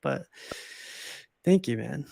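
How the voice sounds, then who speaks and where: Laughing, gravelly-voiced male stream host. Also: mid-range voice, lively intonation, slow pace, mellow, dry recording, rough recording. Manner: enthusiastic, laughs often, says man a lot. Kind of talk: casual chat.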